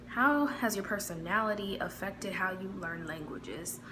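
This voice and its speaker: A woman's voice: mid-range voice, unhurried, noisy background. A woman with a Midwestern American accent, chatting casually to camera with plenty of fillers. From United States. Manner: relaxed, chatty, many fillers. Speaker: vlogger.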